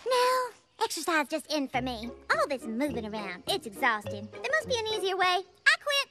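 A woman's voice: high voice, huge pitch swings, normal speed, over loud music. A woman voicing a vain, fussy, girlish young woman in a cartoon, whiny and dramatic. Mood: weary, whiny. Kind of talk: animated cartoon acting.

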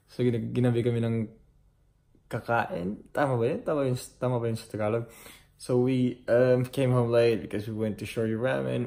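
A man's voice: medium pitch, creaky. A young Filipino man with a Filipino accent, chatting casually to his phone camera as a vlogger, switching between Filipino and English. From Philippines. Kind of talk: casual vlog talk. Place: busy fast food restaurant. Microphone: phone at arm's length. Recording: loud crowd noise in restaurant. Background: crowd chatter.

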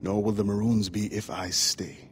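A man acts out a middle-aged freedom fighter in a cinematic game drama. His low voice is grave and deliberate, carrying solemn conviction in formal phrases. Faint background noise sits behind him. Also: little pitch movement, lightly acted. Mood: resolute. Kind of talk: cinematic video game acting.

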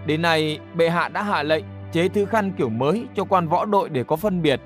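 A man's voice: a little high, normal speed, soft background music, lightly acted. A man voicing a diligent, deferential court official in a historical cartoon, reporting formally, precisely and respectfully.

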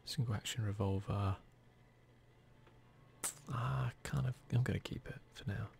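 A man giving whispered, relaxed ASMR commentary over gameplay, musing idly close to the microphone. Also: on the low side, unhurried, vocal fry, a little hiss or hum. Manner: relaxed, idle musing to himself and viewers. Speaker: gaming channel host.

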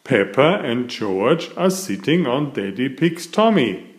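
Male storyteller for small children, unhurried and animated, roomy sound. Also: medium pitch. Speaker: picture book reader and author. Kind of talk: slow read-aloud storytelling for young children.

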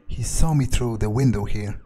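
A man's voice: lowish voice, normal speed, warm tone, soft background music. A man narrating his character's thoughts aloud during a game, hesitant and musing, with muttered curses. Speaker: gamer playing in character. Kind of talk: in-character roleplay monologue over gameplay.

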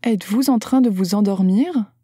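A woman's voice: mid-range voice, moderate pace, warm tone, slightly rough, dry recording, clean studio sound. A woman carefully reading short phrases aloud for a language lesson, enunciating each one. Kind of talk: slow scripted phrase reading.

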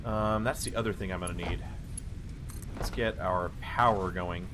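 A man casually narrating his own building game, talking to himself with wry asides and a slightly hoarse voice. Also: low voice, steady tone, normal speed, noisy background. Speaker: let's play host. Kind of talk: casual gameplay commentary. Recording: voice-over with loud game music behind.

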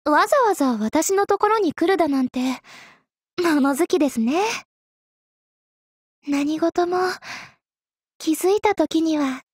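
Very high-pitched coy anime sword maiden. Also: female voice, dry recording, clean studio sound. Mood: polite, languid. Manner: polite, coy, teasing, deliberate delivery. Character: young sword maiden, polite, teasing, possessively sweet. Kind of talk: Japanese anime mobile game character lines.

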